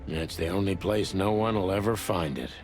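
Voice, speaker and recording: A man's voice: deep voice, normal speed, darkish tone, close to an everyday voice. A man playing a cynical, world-weary old rooster police detective in a noir game, dry and brooding. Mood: grim, cynical. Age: older. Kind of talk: film noir game voice acting. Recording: music behind the voice.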